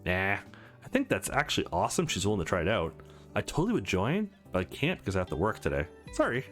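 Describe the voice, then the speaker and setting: Man as blunt young woman, eighteen, visual novel, highly animated over faint music. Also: fast talker. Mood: casual, frank. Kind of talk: visual novel read-aloud.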